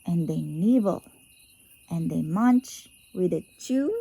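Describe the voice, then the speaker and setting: A woman's voice: on the low side, expressive, slow, creaky, dark tone, husky, no echo. A woman reading a children's picture book aloud expressively, drawing out key words in a sing-song way. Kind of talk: expressive storybook read-aloud for young children.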